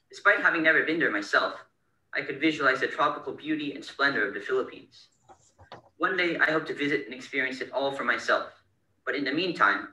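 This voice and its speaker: A teenage boy from California reading a prepared scholarship essay in a steady, earnest way. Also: medium pitch. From California, United States. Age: teens. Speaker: scholarship winner. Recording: bright, roomy, distant recording.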